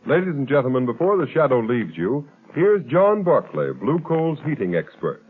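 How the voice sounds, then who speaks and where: Male announcer, animated and emphatic; thin vintage broadcast sound. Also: medium pitch, normal speed, soft background music. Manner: bright, emphatic, lively. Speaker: radio announcer. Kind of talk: scripted radio announcing. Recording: tinny old radio recording.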